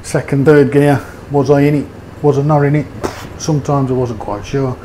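A man's voice: medium pitch, steady tone, normal speed, mellow, a little hiss or hum, low-quality recording. A man giving a casual, rambling motorbike review, with fillers and hesitations. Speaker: motovlogger.

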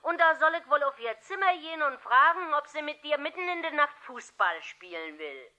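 An actress playing a plucky, gruff, plump household cook, blunt and folksy, in a 1960s German radio play. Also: female voice, high-pitched, very animated, normal speed, bright, forceful tone, heavily acted. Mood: brusque. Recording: dull vintage radio play sound.

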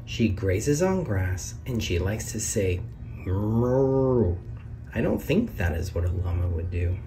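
Slow, mellow man reading a picture book. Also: big pitch swings, recorded from a distance, faint music behind. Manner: sing-song, lifts pitch on the questions and the big reveals. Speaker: read-aloud narrator. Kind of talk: children's picture book read-aloud. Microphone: camera over the book, hands on pages.

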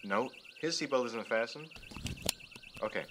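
A man's voice: medium pitch, animated, unhurried, noisy background, lightly acted. A man acting a clueless, safety-fussy getaway driver in an amateur radio drama, hesitant and whiny. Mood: fussy, defensive.

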